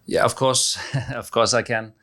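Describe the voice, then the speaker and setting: A middle-aged man with a Danish accent, a head coach answering press questions, halting with many fillers and restarts. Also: mid-range voice, little pitch movement, sharp tone. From Denmark.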